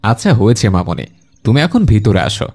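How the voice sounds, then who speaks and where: A man voicing an indulgent, slightly impatient father in a cartoon, with a coaxing, expressive manner. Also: lowish voice, moderate pace, a little hiss or hum. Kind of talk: animated cartoon dialogue.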